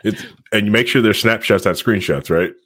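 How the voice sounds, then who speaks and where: A man's voice: fairly low pitch, fairly quick, fairly bright tone, no echo, studio quality. A man hosting a tech talk show in casual banter, rambling and jokey, often laughing.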